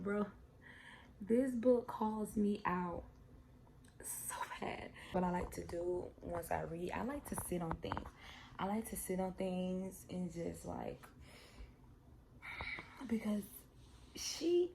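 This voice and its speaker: A young woman in her twenties with a mellow, gravelly voice, sliding into fry. She talks in a loose, heartfelt ramble, circling back and repeating her points as she chats with her viewers. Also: mid-range voice, a little hiss or hum, poor audio. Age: 20s. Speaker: vlogger. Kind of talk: casual vlog chat.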